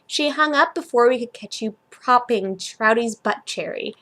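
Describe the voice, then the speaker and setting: Woman reading as a sarcastic, sharp-tongued young woman. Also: fairly high pitch, normal speed. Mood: scornful. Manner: sarcastic, biting. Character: sharp-tongued, mocking young woman sending an angry message.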